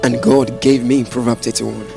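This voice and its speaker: A man's voice: medium pitch, little pitch movement, normal speed, vocal fry, close to an everyday voice. A man with a Nigerian accent acting an adoring husband, tender and declamatory, in a romantic radio drama. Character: adoring, poetic husband in love. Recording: music behind the voice.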